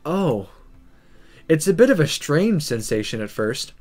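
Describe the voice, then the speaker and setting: A man's voice: on the high side, sing-song, measured pace, darkish tone, faint music behind. A man voicing a flustered, sarcastic former guy now in a girl's body, in a visual novel, with a hesitant, embarrassed, wry delivery.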